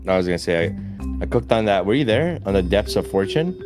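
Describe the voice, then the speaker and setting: Low-voiced man explaining a slot. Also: very animated, fast, over music. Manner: explains game mechanics, casual swearing. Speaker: guest streamer. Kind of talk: casual gaming chat.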